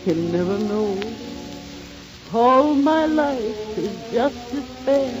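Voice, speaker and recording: Female singer, very slow plaintive ballad delivery, 1930s broadcast sound over a band. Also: fairly high pitch, expressive, music in the background. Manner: plaintive, drawn-out singing. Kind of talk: slow torch song performance. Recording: muffled 1930s radio broadcast. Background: orchestra accompaniment.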